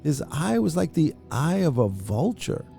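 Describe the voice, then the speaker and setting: A man with a deep, dark, gravelly voice reads a classic horror tale aloud. His delivery is brooding and intense, sinking to near-whispers and then climbing again. Faint music plays underneath. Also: very animated, a little slow. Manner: intense, brooding delivery with sudden hushed drops and rising outbursts. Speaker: reader. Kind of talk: dramatic reading of a classic horror story.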